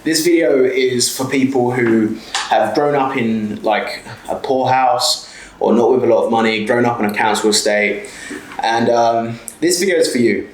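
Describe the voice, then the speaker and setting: A man's voice: medium pitch, moderate pace, echoey room, slight background noise, rough recording. Sweary South London man in his twenties. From South London, England. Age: 20s. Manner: blunt, heavily sweary, repeats "okay?". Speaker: small business owner and mentor. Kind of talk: direct-to-camera motivational talk.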